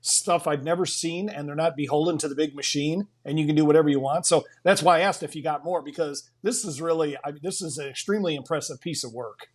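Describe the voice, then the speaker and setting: Rambling male co-host, slightly high voice. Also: fast talker, few pauses. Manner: rambling, circling around his point. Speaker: interviewer, podcast co-host. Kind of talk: casual interview chat.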